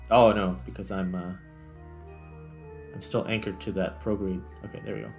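Low-voiced man commentating on gameplay. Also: little pitch movement, normal speed, vocal fry, over music. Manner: thinks aloud while explaining. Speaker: gaming video host.

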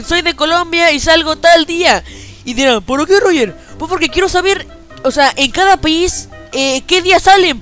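A man's voice: very high-pitched, sharp tone. A teenage boy, talking over his gameplay in casual, slangy chat, saying he feels a bit unwell and peppering every sentence with "chicos". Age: teens. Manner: slangy, repeats "chicos" constantly. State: feeling unwell, a bit weak. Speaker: gaming YouTuber. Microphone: headset.

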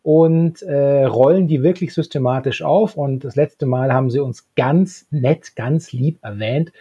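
A man in his thirties with a husky voice, talking as a podcast co-host. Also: medium pitch, unhurried, mellow, highs cut off. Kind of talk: podcast talk, partly read summary. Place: small book-lined room at home. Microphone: handheld-style recorder microphone close up, earphones.

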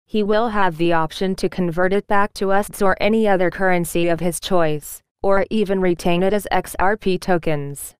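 A woman narrating an article in a steady, even reading voice. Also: on the low side, normal speed, few pauses, clean tone, no room sound. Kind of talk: read-aloud article narration.

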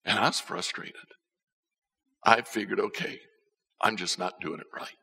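An older man preaching from a church stage, his voice gravelly and slightly husky with a bright edge. He talks unhurriedly, and his pitch rises and falls as he tells his stories. The sound carries a long reverb from the hall. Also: measured pace. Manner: storytelling, swings between soft and emphatic. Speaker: pastor. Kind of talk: sermon. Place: church stage with band instruments.

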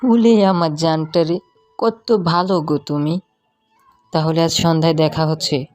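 A woman's voice: low voice, fairly even intonation, measured pace, a little mellow, no room sound, soft background music. A woman voicing a sweet-talking, wheedling secret male lover in an audio love-story drama. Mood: pleading, doting.

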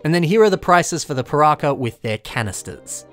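A man's voice: a little high, lively intonation, brisk, a bit bright, soft background music. A young man, talking casually over a shopping vlog and weighing up toy prices. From Australia. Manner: chatty, opinionated about prices. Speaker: toy collector vlogger.

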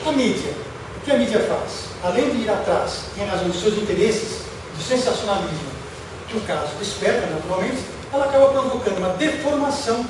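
A middle-aged Brazilian man giving a public lecture in a deliberate, emphatic way, posing rhetorical questions and listing points. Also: sits high. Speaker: lecturer, former UFO researcher. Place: auditorium stage, indoors. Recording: echoey hall from far off, noisy.

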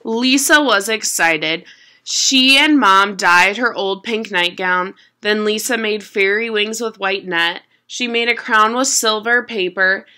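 A young adult woman reading a children's picture book aloud at home in an expressive, deliberate storytelling manner. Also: mid-range voice. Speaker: storybook reader. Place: small room at home.

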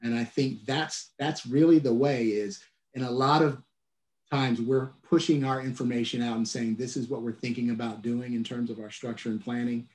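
Middle-aged man, transit leader, video call, measured and halting, echoey office room. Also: medium pitch, steady tone, darkish tone. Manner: measured, restarts phrases, many "uh" fillers. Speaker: transit agency leader, keynote guest. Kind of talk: answering questions on a video call. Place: office at home or work.

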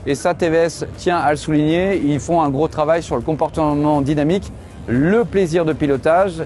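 A middle-aged man, a motorcycle journalist, walking a trade show and explaining the bikes in a lively way. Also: fairly high pitch, normal speed. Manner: lively, explanatory, tosses in fillers. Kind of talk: trade show walkthrough and interviews. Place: busy indoor exhibition hall. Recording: background music.

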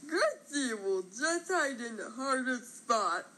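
A woman voicing a small, naive, childlike grass character for a cartoon, cutesy and squeaky with a husky edge. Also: big pitch swings, takes it slowly, fairly bright tone, heavily acted, poor audio. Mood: curious, innocent. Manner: cutesy, refers to self in third person.

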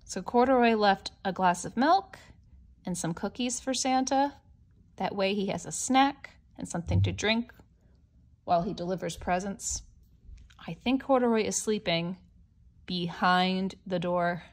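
A woman reading a picture book aloud to young children, explaining it simply in an expressive, questioning, child-directed manner. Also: medium pitch. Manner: expressive, questioning, with gasps and hushed asides; deliberate, child-directed phrasing. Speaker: storybook reader for young children.

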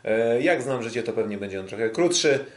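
A man hosting a casual sports podcast, talking in a hesitant, halting way. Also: mid-range voice, expressive. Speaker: podcast host. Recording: roomy, echoing sound.

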